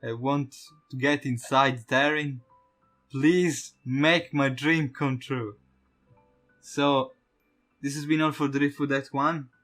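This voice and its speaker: A man's voice: medium pitch, fairly even intonation, slow pace, fairly bright tone. Casual male let's-play host over music. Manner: casual swearing. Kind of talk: casual let's-play commentary with on-screen text read aloud.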